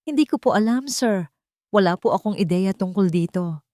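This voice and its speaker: A woman's voice: medium pitch, animated, normal speed, warm tone, no room sound, natural voice. A timid young waitress from a romance story, as a woman narrator voices her. She is polite and hesitant, pleading with her superior. The sound is dry and close. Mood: tearful pleading. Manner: deferential, hesitant.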